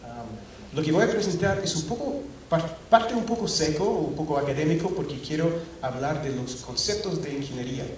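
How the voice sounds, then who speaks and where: Man, halting with fillers, conference talk, very echoey hall, distant mic. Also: a little high, animated, a little hiss or hum. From Scotland. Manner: hesitant, many fillers and restarts. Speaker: conference speaker. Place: large auditorium. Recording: echoey hall recorded from afar.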